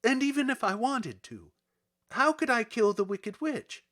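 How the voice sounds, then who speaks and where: A man's voice: very high-pitched, expressive, measured pace, fairly bright tone, pitched above the performer's own voice, exaggerated voice. A man voicing a helpless, honest little girl in a storybook, speaking in an earnest, plaintive and simple way. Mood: distressed, pleading. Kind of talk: dramatic storybook character reading.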